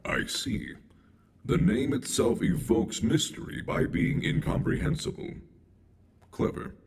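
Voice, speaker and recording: A man voicing a bloodthirsty, curious robot hunter in a video game, speaking formally and deliberately through robotic processing. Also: a little mellow. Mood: intrigued. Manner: deliberate, formal, menacingly literal. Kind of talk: video game character dialogue.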